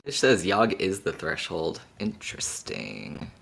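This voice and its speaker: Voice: low voice, wildly swooping pitch, takes it slowly, vocal fry, a bit bright. A podcast co-host chatting casually in a fan discussion.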